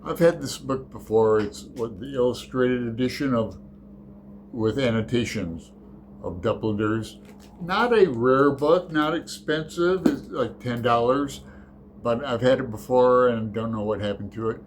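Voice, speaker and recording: A man's voice: mid-range voice, measured pace, slight background noise. A man hosting his book channel, chatting through a tag in a rambling, hesitant way with lots of fillers. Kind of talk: casual book tag chat.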